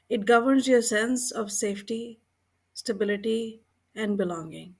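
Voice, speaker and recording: A woman narrating a scripted guided meditation in a calm, gentle way, with unhurried short phrases and pauses between them. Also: mid-range voice.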